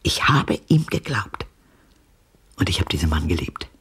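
An older actress playing a bitter, haunted old woman in a radio drama, speaking deliberately and pointedly in a low, breathy voice that drops into whispers. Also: very animated, heavily acted. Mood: haunted, intense.

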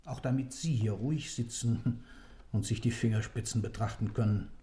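An actor in a German radio play, playing an impassioned defendant who declaims a dark creed in fervent, rhetorical speech. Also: male voice, mid-range voice, moderate pace, lightly acted. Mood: cold, ironic.

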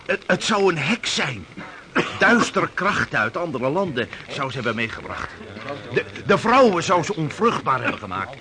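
A man in a Dutch radio drama playing a rough sailor telling an eerie tale, stammering and halting as he goes. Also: a little high, very animated, a crowd in the background. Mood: haunted, agitated. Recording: noisy radio drama scene.

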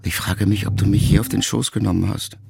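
A man reading a letter aloud as an audiobook, in a measured, reflective way. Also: lowish voice, little pitch movement, vocal fry. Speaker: narrator. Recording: loud music underneath.

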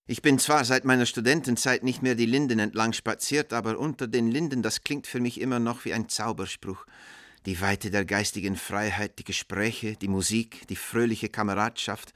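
Man acting deep voiced middle-aged bachelor, nostalgic and warm, radio play letters, very dry close sound. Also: no echo, lightly acted. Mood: wistful, enthusiastic.

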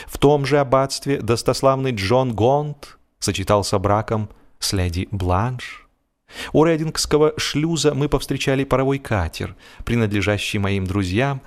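A man reading a humorous story as scripted audiobook narration, expressively, drawing out the ends of his phrases. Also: lowish voice.

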